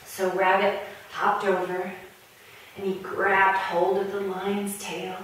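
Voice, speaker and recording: Slow, echoey woman storyteller. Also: medium pitch, level tone, a bit bright, slight background noise. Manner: playful, drawn-out emphasis for small children. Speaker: children's storyteller. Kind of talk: animated storytime narration with puppets. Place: echoing room, speaking to a camera. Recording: very echoey room, far from mic.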